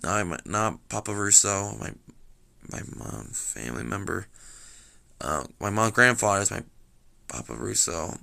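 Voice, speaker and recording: A young man with a slightly raspy voice telling a rambling story, full of fillers and restarts. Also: fairly low pitch, sing-song, a little slow, long pauses, bright, forceful tone. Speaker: vlogger.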